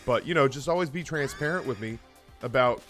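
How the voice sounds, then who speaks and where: Animated man telling stories over music. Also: medium pitch, brisk. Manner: animated, profane, self-deprecating. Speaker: live streamer telling personal stories. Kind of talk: casual comedic storytelling on a livestream. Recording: music behind the voice. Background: background music.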